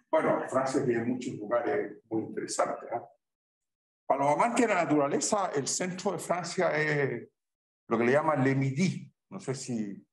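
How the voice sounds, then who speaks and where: A man with a Chilean accent, a university math professor chatting informally with his students and tagging his sentences with "¿ya?" and "¿ah?". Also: medium pitch. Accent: Chilean Spanish. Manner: conversational, tags sentences with "¿ya?" and "¿ah?". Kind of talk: informal chat with students during class. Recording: very echoey room, distant mic.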